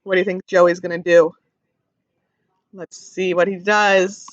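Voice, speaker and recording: A woman teaching through an interactive picture-book read-aloud for young children, animated, asking questions and explaining things simply. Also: lowish voice, unhurried, no room sound, lo-fi sound.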